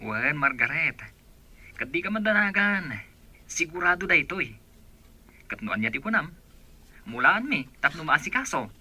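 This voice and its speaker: Man acting a bright, eager village man. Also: a little high, sing-song, normal speed, pauses often, slightly rough, full character voice. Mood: eager, reassuring. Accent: Ilocano. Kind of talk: Ilocano radio drama acting.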